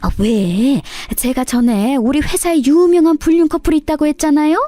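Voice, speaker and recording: A woman voicing a nosy, chummy older female cleaner in an animated, gossiping way for a story reading. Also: sits high, moderate pace, no echo, soft background music, voice pushed up, lightly acted. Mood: scandalised gossiping. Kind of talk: dramatised radio story reading.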